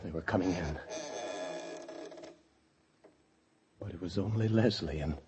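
An actor playing a paranoid fugitive husband, narrating an old-time radio thriller with intense dread. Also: male voice, sits low, quick pace, background noise, natural voice. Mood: brooding dread. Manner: intense, breathless dread, swinging from brooding to frantic shouts. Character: desperate, paranoid fugitive husband confined in a locked room. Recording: dull old radio recording with music.

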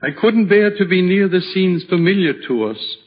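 An actor playing a kindly, serene old widowed hermit in a slow, solemn radio drama style. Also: male voice, sits high, lively intonation. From Switzerland (the figure). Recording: dull vintage radio recording.

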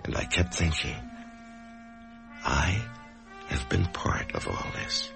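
An actor playing an anxious, death-haunted office man in a radio drama, speaking in a halting, emotional way. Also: rumbling low, lively intonation, gravelly, over music, full character voice. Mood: brooding. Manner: halting, emotional, swinging from brooding to agitated. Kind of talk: old-time radio drama acting, first-person narration and dialogue.

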